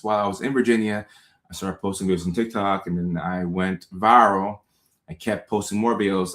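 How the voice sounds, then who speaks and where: A man in his thirties, a comedian born in Ghana, hosting a casual livestream, upbeat and repeating his thanks and shout-outs to viewers. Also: low voice. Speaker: comedian and show host. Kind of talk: casual livestream chat reading out viewer comments. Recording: roomy echo.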